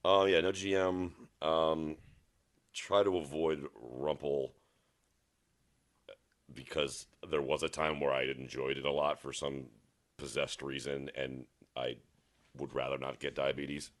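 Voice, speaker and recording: Man with an extremely deep voice. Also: level tone. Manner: rambling, self-mocking, halting. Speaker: podcast guest. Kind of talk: casual drinking chat.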